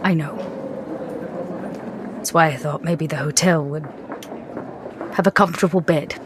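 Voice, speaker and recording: A woman voicing a genteel, reserved female vampire in a tabletop roleplay podcast, with dry, measured questioning. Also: deep voice, steady tone, a little hiss or hum. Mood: resigned, gentle.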